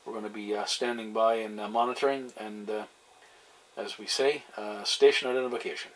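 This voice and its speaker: A man's voice: on the low side, fairly even intonation, brisk. A man chatting casually and hesitantly as a CB radio operator, making call-outs on air. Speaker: amateur CB radio operator and video host. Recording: very noisy, loud radio static. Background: CB radio noise.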